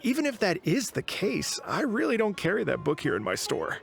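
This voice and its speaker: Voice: mid-range voice, huge pitch swings, fairly quick. An actor playing a flustered, obliging bookstore keeper in an anime video game, speaking hesitantly and casually. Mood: puzzled. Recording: game audio over clear background music.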